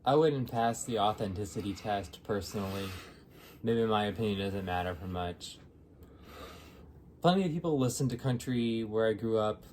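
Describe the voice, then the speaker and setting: A man from near Chicago co-hosting a casual album review, hesitant, with many pauses and fillers. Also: lowish voice, expressive, darkish tone, slight background noise. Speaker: music review co-host.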